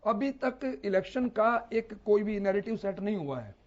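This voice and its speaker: A man giving unscripted political commentary as a panel analyst, explaining haltingly with hesitations and repetitions. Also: high-pitched, lively intonation. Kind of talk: unscripted television debate commentary. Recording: slightly dull, lo-fi broadcast audio.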